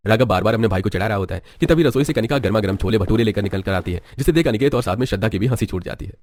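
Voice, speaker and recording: A man's voice: on the low side, very fast, darkish tone. A man narrating a scripted bedtime story as a storyteller. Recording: music behind the voice. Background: background music.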